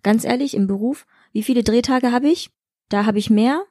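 A woman's voice: on the high side, moderate pace, mellow, dry recording, clean studio sound. A young woman, an actress and kung fu trainer, talking frankly and pragmatically as a podcast guest. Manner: frank, pragmatic, laughs often. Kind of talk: candid podcast conversation.

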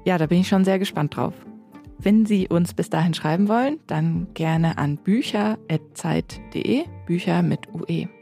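A woman co-hosting a book podcast, talking in a lively, friendly way about what she read. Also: lowish voice, normal speed, gravelly, faint music behind. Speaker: podcast co-host, book critic. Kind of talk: casual book discussion.

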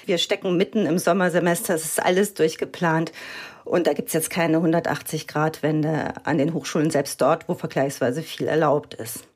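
A woman journalist answering interview questions about universities in an explanatory way, often pausing with fillers. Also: deep voice, fairly even intonation, husky. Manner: explanatory, uses hesitation fillers. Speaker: education journalist.

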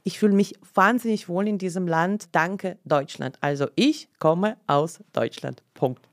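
A woman's voice: lowish voice, unhurried, dry recording. A woman in her forties, born in Leningrad with Russian as her first language, telling her story earnestly as a podcast guest in a studio. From Leningrad, Soviet Union. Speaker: interview guest, journalist. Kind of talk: podcast interview.

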